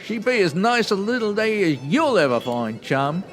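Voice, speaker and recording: A chummy Cockney pub landlord in a comedy radio play. He gossips freely in matey slang, his pitch swinging in a lively way over faint music. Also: normal speed. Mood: gossipy, admiring. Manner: chummy, colloquial. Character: friendly Cockney bartender, male, chatty, protective of a local lady. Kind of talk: comedy audio drama acting.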